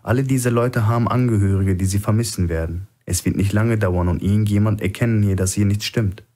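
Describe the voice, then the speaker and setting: A man's voice: on the low side, brisk, mellow, close to an everyday voice. A man voicing a shrewd, defiant male hostage in a thriller audiobook, insistently reasoning to provoke his captor. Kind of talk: audiobook character acting.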